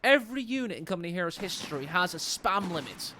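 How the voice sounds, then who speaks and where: A man commentates a strategy game tournament live, and his voice sits noticeably high for a man. He keeps things jokey and chats with his viewers between plays. A faint background noise runs under the commentary. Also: animated, fast talker, bright, forceful tone. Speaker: esports caster.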